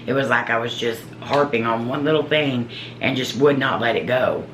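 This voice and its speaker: A woman with a deep, low voice, a paranormal investigator telling her own story in a casual, earnest, halting way. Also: a little hiss or hum. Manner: earnest, halting, searching for words. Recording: roomy echo.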